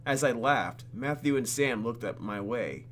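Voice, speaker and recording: A man hosting a game playthrough, reading the story aloud and tossing in playful, animated asides. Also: mid-range voice, a little slow, a little hiss or hum. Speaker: host of a game playthrough video. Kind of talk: reading game narration aloud with casual reactions.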